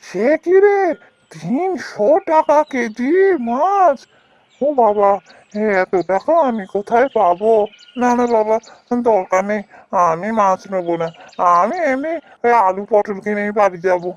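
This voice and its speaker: A woman's voice: medium pitch, expressive, unhurried, muffled recording, natural voice. A woman playing a poor village boatman in a fairy-tale cartoon, fretful and plain-spoken. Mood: worried.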